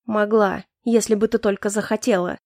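A woman's voice: little pitch movement, fairly quick, no echo, studio quality, close to an everyday voice. Woman voicing a bitter young nobleman. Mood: heated. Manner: low, restrained, quietly cutting. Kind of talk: audiobook dialogue reading.

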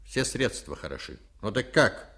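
An actor playing a ruthless, commanding Jesuit priest in a radio drama, speaking deliberately with insistent, drawn-out emphasis. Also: male voice, lowish voice, very animated, fairly bright tone. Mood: cold, insistent. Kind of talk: Soviet radio drama acting.

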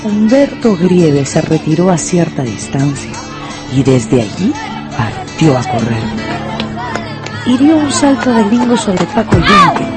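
Peruvian woman narrating a story over music. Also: fairly low pitch. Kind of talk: scripted dramatic storytelling narration for radio. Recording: music and schoolyard crowd behind.

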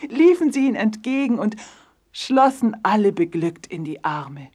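A woman narrator tells a children's story in a low, husky voice. Her reading is expressive and scripted, sinking to hushed phrase endings.

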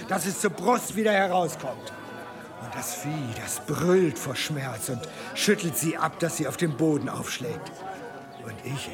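Excitable high-voiced village storyteller. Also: male voice, full character voice. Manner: animated, breathless storytelling. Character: excitable villager telling a heroic bear-fight tale. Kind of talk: German audio drama acting.

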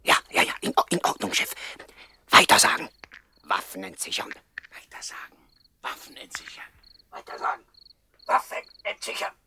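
An actor playing an eager gangster henchman in a radio play, brisk and emphatic as he talks to his boss. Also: lowish voice, sing-song, pushed and bright, a little hiss or hum, exaggerated voice. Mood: urgent, hushed. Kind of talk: 1960s German radio play acting.

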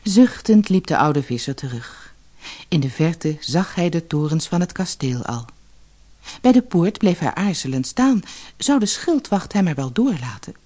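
A woman's voice: lowish voice, sing-song, measured pace, dark tone. A woman reading a scripted fairy tale as an audiobook narrator.

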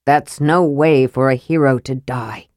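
A woman voicing a grim, stubborn old male dwarf in a fantasy audiobook, speaking in a gruff, firm, terse way. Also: low-pitched, unhurried, dry recording, studio quality, voice pushed down. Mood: angry, grim.

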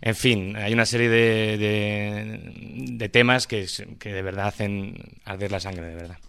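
A man's voice: on the low side, steady tone, unhurried, fairly bright tone, clear, resonant tone, no room sound, clean studio sound. A man with a Madrid Spanish accent, a schoolteacher speaking off the cuff at a public debate, feeling his way through his points with frequent tag questions. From Madrid, Spain. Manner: hesitant, searching for words, frequent tag questions. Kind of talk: impromptu speech at a public debate.

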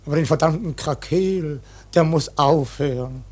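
An actor in a radio play voicing an ancient, stubborn ship's cook who preaches piously and grumbles with curses in a husky voice. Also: male voice, a little high, a little slow, darkish tone. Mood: preachy, scolding. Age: older. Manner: grumbling mock-preacher, swears constantly. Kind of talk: German radio play acting.

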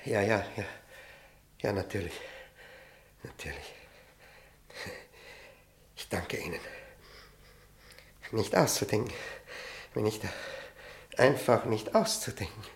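A man playing a highly strung, impatient doctor gripped by chess mania in a radio drama, agitated and repeating himself. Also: takes it slowly, pauses often. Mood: subdued, ashamed. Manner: agitated, stammering, repeating words.

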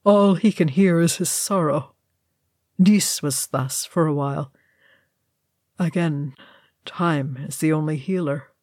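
Woman as a grave, low, sorrowful dwarf lord. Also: a little mellow, dry recording, clean studio sound. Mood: heavy-hearted. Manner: solemn, measured, weary. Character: grave, sorrowful, noble male dwarf ghost.

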